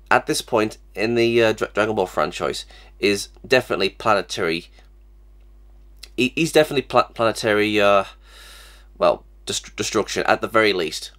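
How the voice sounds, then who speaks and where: Young male host with a cold, fairly low voice, halting and repetitive delivery, recorded at a desk webcam. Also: a bit bright. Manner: stammering, many restarts and fillers. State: has a cold, sniffly. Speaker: reaction channel host. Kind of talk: casual reaction vlog. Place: small cluttered room indoors.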